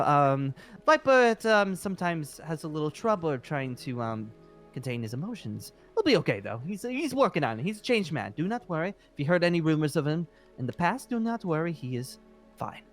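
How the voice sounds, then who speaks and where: A centuries-old dragon slayer who leads a fantasy guild, played by a man. His voice is highish and swings widely as he politely hesitates, apologizes and placates, with faint music behind him. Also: sing-song, fairly quick. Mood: flustered, apologetic. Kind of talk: improvised fantasy roleplay acting.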